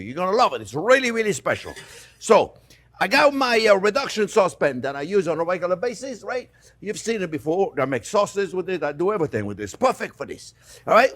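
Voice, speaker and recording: A French-accented man hosts a cooking lesson in his kitchen, talking chattily and warmly to his viewers as friends. His voice sits high for a man and swings widely up and down. It has a husky edge. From France. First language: Italian. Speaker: chef host. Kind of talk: cooking demonstration. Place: home kitchen.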